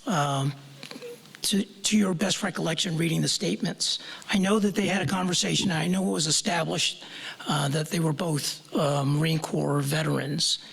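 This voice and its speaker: A man's voice: on the high side, fairly even intonation, moderate pace, vocal fry, fairly bright tone, slightly rough, low-quality recording. A man on a review board who is losing his voice, asking careful questions at a public meeting.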